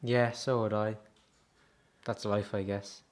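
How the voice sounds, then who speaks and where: An actor playing a polite, quietly downcast male circus hand in a radio drama. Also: lowish voice, level tone, slow. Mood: downcast, awkward.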